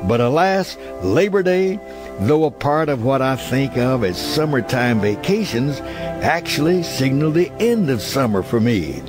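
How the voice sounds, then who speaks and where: A man's voice: medium pitch, expressive, unhurried. A man reading a scripted memoir as a narrator, in a nostalgic, reflective storytelling manner. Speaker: audiobook narrator. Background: background music.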